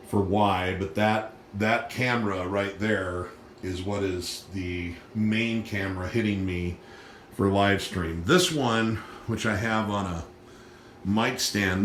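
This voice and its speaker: Man, casual rambling tour, lowish voice, echoey small room, faint background hum. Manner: rambling, relaxed, frequent restarts and fillers. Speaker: piano teacher and live streamer. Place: small home studio with piano and computer. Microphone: phone camera held while walking around.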